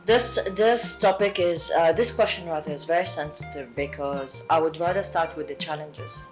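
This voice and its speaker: A woman from England with a Southwest English accent, a guest actress speaking earnestly in a casual chat, often halting and restarting. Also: low voice, faint music behind.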